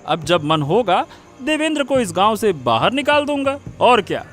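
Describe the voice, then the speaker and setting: A man voicing a smug, scheming village villain in an animated moral story, boasting and gloating. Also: high voice, normal speed, soft background music.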